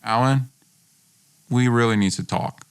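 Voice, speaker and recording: A man's voice: medium pitch, unhurried, gravelly, smooth, voice pushed down. A man voicing a stern, confrontational young best friend in a told story, blunt and insistent.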